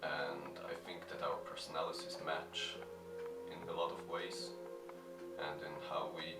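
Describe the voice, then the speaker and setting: A shy man answering fan questions in a halting, casual way, with many fillers and restarts. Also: a bass voice, flat delivery. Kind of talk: hesitant casual talk to camera. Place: at home. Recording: echoey room, mic at a distance, music playing. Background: music.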